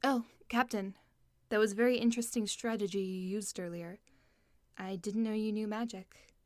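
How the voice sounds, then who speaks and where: A woman's voice: medium pitch, steady tone, normal speed, a little mellow, no echo, clean studio sound, lightly acted. A woman playing a curious, observant young female mercenary of a castle guard in an audio drama.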